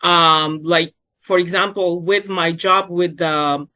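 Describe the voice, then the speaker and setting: Guest: middle-aged woman, native Arabic speaker, deep yet bright voice, halting and repetitive. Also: steady tone. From South Sudan. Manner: halting, repeats words, many fillers. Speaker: program alumna and epidemiologist. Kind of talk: recounting career path in a webinar.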